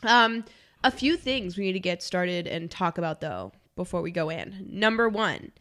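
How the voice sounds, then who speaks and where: A woman's voice: lowish voice, expressive, normal speed, a bit bright. Congested young woman chatting. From Miami, Florida. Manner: chatty, many "like" fillers. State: congested, stuffy nose. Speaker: podcast co-host. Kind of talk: casual podcast chat.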